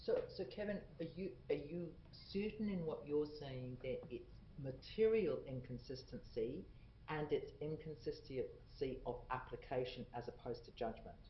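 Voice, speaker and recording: A man moderates a panel, pressing with brisk, probing questions. His voice sounds roomy and muffled, with a faint hiss. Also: a little high. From New Zealand. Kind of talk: panel discussion. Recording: echoey muffled room recording.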